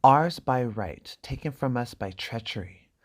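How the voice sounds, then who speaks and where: A man's voice: mid-range voice, expressive, unhurried. A man voicing an arrogant, scheming young exiled prince who threatens his sister. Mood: bitter. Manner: haughty, threatening.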